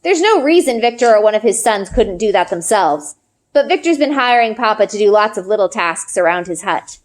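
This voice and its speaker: A woman narrator giving an expressive read-aloud of a children's novel in the first person. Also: mid-range voice, brisk.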